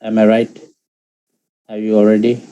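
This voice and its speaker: A man whose first language is Sinhalese, teaching Pali grammar in an online lecture, speaking in halting phrases with many fillers and restarts. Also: lowish voice, monotone, mellow, smooth, dull audio. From Sri Lanka.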